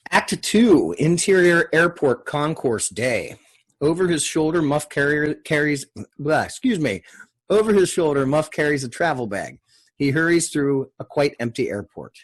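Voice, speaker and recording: Husky man reading screenplay directions. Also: on the high side, animated, unhurried. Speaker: stage-direction reader.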